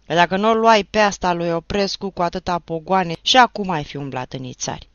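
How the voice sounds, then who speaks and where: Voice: mid-range voice, very animated, pushed and bright, dry recording, highs cut off, pitched above the performer's own voice. A reader voicing a grown village man, a war veteran, who answers back with blunt mocking retorts. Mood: defiant. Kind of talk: audiobook narration.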